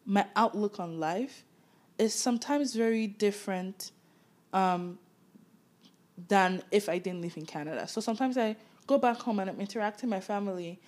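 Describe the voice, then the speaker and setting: A woman's voice: mid-range voice, moderate pace, darkish tone. Candid Nigerian woman co-hosting a podcast. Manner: reflective, candid, laughs easily. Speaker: podcast co-host. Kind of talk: casual chat.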